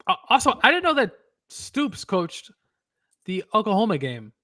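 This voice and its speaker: A man's voice: high-pitched, wildly swooping pitch, moderate pace, pushed and bright. A man co-hosting a casual football picks show, loose and filler-heavy, laughing along. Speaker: sports picks co-host. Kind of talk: casual sports betting chat.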